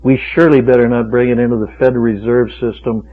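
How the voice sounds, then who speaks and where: A man's voice: medium pitch, little pitch movement, fast. A man preaching a fiery sermon, emphatic and rhetorical, driving points home with repeated phrases. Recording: tinny phone-like radio recording.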